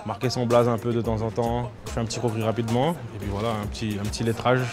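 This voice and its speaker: A man from French Guiana speaking casually as an interviewed graffiti artist, in a halting way with repeated words. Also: mid-range voice, little pitch movement. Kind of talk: casual spoken interview about own work. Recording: loud music under the voice.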